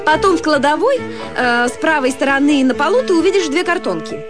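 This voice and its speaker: A woman's voice: high-pitched, very animated, fairly quick, music in the background. An actress playing a flighty young wife in a radio drama, effusive and cajoling, drawing out her words theatrically. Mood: pleading, cajoling. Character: gushing, flighty, coaxing young wife at a summer cottage.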